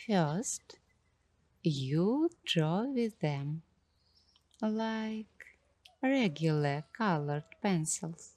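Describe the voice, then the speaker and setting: A woman hosting a guided coloring ASMR session in a soft, hushed voice, speaking dreamily in short, halting phrases. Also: fairly low pitch, expressive. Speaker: ASMR host.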